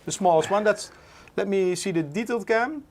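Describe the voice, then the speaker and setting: A Dutch man in his forties with a Dutch accent, hosting a casual livestream, relaxed and full of "uh" fillers. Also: high-pitched, brisk. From Netherlands. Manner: relaxed, many "uh" fillers, reads chat aloud. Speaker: livestream host.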